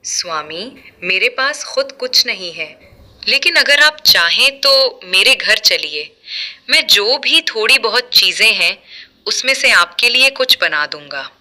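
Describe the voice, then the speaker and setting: A woman's voice: a little high, expressive, takes it slowly, pushed and bright, heavily acted. A woman voicing a poor, devout and kind Brahmin man in a storybook tale, earnest and reverent. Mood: humble.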